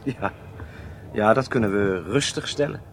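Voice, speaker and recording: A man's voice: lowish voice, measured pace. A man playing a courteous, sociable secret agent on a train in a radio drama, making polite, slightly hesitant small talk. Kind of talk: Dutch radio drama acting. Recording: noisy train compartment ambience.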